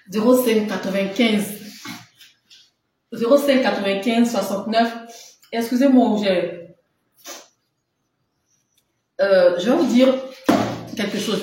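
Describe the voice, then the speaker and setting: A woman's voice: mid-range voice, a little mellow. Woman live-stream seller with a runny nose, chatty, laughs a lot, reads out viewer comments; very echoey room, faint hiss. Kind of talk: casual live-stream chat.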